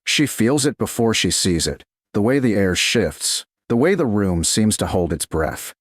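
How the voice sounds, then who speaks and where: A man reading a slow-burn romance story aloud in a slow, hushed, deliberate manner. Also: lowish voice, sing-song, dry recording, clean studio sound. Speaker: narrator. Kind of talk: scripted romance narration.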